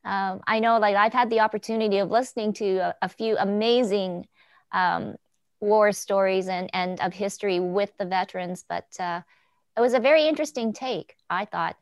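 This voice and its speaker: A woman's voice: mid-range voice, moderate pace, no echo, clean studio sound. A woman hosting a group video chat in a conversational, somewhat rambling way, circling back mid-sentence. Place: remote video call.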